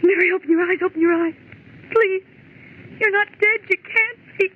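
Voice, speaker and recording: A woman's voice: very high voice, normal speed. Frightened, pleading woman, old tinny radio. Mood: panicked, pleading. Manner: urgent, pleading, melodramatic. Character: frightened woman office worker pleading with her dazed friend. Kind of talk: old-time radio horror acting. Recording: noisy, band-limited old radio recording.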